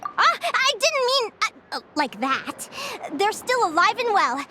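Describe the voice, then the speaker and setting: A woman's voice: very high-pitched, sing-song, slow pace, bright, forceful tone, a little hiss or hum, exaggerated voice. An actress voicing an earnest, cheerful young winged girl who is not human and talks eagerly. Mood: storytelling. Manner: cheerful, eager, a bit flustered at times. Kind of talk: video game voice acting. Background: game music.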